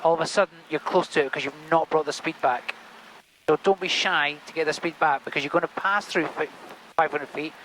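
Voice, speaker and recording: Man, helicopter instructor, rapid speech, slightly high voice, encouraging, sweary, engine noise on a headset mic. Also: a bit bright, poor audio. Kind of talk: casual in-cockpit instruction. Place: small helicopter cockpit in flight. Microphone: aviation headset. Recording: engine noise over cockpit headset intercom.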